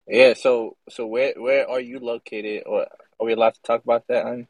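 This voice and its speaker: A man's voice: mid-range voice, little pitch movement, normal speed, dry recording, low-quality recording. A young man co-hosting a casual podcast, laid-back and teasing, with some hesitant fillers. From United States. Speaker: podcast co-host.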